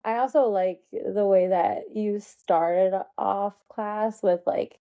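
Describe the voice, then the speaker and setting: A woman's voice: medium pitch, steady tone, takes it slowly, phone-quality sound. A woman hosting a podcast interview, casual and supportive, asking follow-up questions. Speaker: podcast host.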